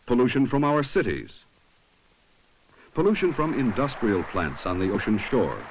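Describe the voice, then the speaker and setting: A man reading scripted 1970s documentary narration in a measured, instructive way, on an old film soundtrack. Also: medium pitch, sing-song, slightly rough, highs cut off.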